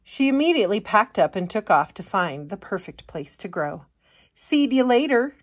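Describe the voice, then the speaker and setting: A woman reading a children's picture book aloud as an expressive storyteller. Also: lowish voice, moderate pace, breathy edge. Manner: expressive storytelling, putting on character voices. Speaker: storytime reader.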